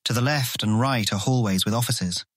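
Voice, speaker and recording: A man's voice: mid-range voice, normal speed, dry recording, clean studio sound, natural voice. An actor playing an eager, proud young man showing a guest around in a fantasy audiobook. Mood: eager, informative. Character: eager, proud young male guild guide. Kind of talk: full-cast audiobook acting.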